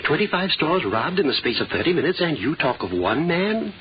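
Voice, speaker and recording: A man's voice: fairly high pitch, very animated, fairly quick, lo-fi sound, slight background noise. A man playing a formal, easily exasperated insurance representative in old-time radio drama style, on an old recording. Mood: incredulous, exasperated. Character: fussy, incredulous businesslike male insurance representative.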